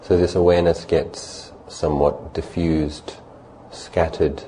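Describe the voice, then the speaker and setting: A man leading a guided meditation as a teacher, speaking calmly and unhurriedly in short phrases with long pauses. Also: a bass voice, poor audio. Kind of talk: guided meditation, spoken instruction. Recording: faint background noise and ambience.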